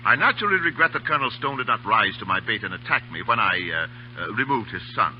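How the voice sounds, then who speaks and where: A man's voice: lowish voice, big pitch swings, quick pace. An actor playing a courtly, mock-polite Indian khan who menaces his captives in old-time radio drama. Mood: silky, calculating. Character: suave, menacing Indian khan who captures and tortures officers. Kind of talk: 1930s radio drama acting. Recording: dull, noisy old radio broadcast with hum.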